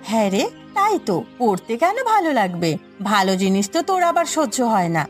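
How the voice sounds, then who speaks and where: A woman's voice: mid-range voice, big pitch swings, brisk, soft background music, lightly acted. A woman voicing a caring village mother, chiding yet soothing, in a moral cartoon story. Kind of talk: Bengali moral cartoon dubbing.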